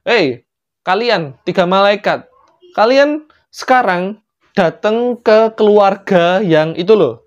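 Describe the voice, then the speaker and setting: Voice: medium pitch, lively intonation, slow, long pauses, muffled recording. A storyteller voicing a bossy, impatient God in a comic tale, hurrying the angels in colloquial exclamations.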